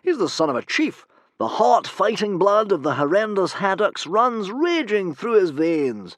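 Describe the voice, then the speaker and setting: A man voicing a grand, pompous adult male hero who proclaims everything loudly. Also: high voice, sing-song, measured pace, dry recording, pitched above the performer's own voice. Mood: boastful.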